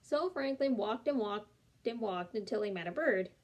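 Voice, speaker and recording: Young woman storyteller, gentle, expressive, fairly high voice. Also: moderate pace. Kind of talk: reading a picture book aloud.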